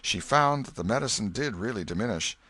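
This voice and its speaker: Brief: male storybook narrator, low voice, lively delivery, dry close sound. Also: fairly quick, husky, no room sound. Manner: expressive, varied storytelling delivery. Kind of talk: storybook reading.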